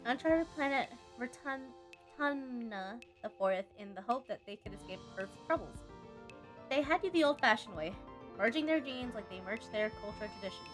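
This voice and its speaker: Female game streamer, higher-pitched, chatty and reactive, reads story text aloud between muttered asides, music underneath. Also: lively intonation, normal speed. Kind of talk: casual game commentary, reading on-screen text aloud.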